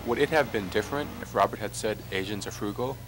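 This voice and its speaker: A man from Hawaii reading a scripted, reflective documentary narration in a measured way. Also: lowish voice. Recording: noisy 1990s amateur video soundtrack.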